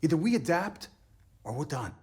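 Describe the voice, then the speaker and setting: An actor playing a serious commander in a video game, giving formal, authoritative briefings to operatives. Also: male voice, on the high side, very animated, moderate pace, darkish tone, breathy edge, no echo, full character voice. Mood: grave, resolute.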